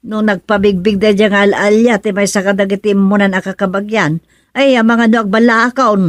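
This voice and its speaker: Woman acting as worried village wife, Ilocano Filipino accent, medium pitch, fretful exclamations, radio comedy-drama. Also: fairly even intonation, brisk, dry recording, natural voice. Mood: fretful, insistent.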